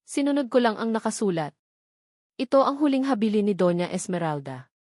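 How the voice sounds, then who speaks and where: A woman's voice: mid-range voice, animated, darkish tone, no room sound, close to an everyday voice. Woman reading as male lawyer, composed, formal, authoritative, audio drama story, dry close sound. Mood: firm. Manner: measured, firm, formal.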